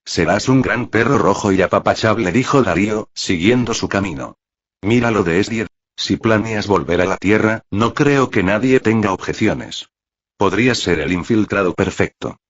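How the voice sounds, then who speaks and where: Un hombre de voz ronca que lee una historia como narrador de audiolibro, con texto preparado. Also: male voice, lowish voice, normal speed. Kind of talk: scripted story narration. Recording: muffled, roomy, lo-fi audio.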